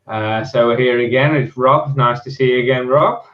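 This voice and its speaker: A man's voice: medium pitch, normal speed, dull audio. Easygoing man hosting a casual chat. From Perth, Australia. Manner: easygoing, filler-heavy. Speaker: host.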